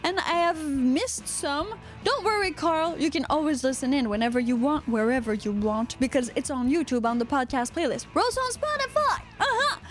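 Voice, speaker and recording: A woman's voice: high voice, animated, normal speed, few pauses, soft background music. A woman from Quebec with a Quebecois accent, co-hosting a casual live podcast, rambling and joking as she reads out chat comments. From Quebec, Canada. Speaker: podcast co-host.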